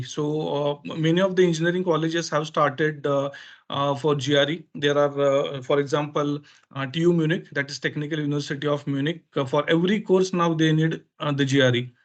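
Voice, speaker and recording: A man with an Indian accent, a native Marathi speaker, explaining things informally as a webinar presenter in halting, filler-heavy phrases. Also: on the high side. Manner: halting, hesitant phrasing with frequent filler pauses. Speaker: education consultant presenting a webinar.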